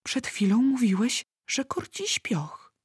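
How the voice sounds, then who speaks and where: A woman voicing a curious, timid little girl in a storybook, speaking softly and questioningly. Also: fairly high pitch, very animated, slow pace, a little hiss or hum, voice pushed up. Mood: timid, puzzled. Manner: soft, questioning.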